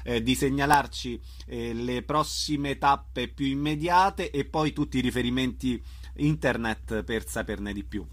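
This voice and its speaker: A man's voice: medium pitch, measured pace, fairly bright tone. A man hosting a conversational interview, polite and attentive, with frequent short acknowledgements. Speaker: radio interviewer.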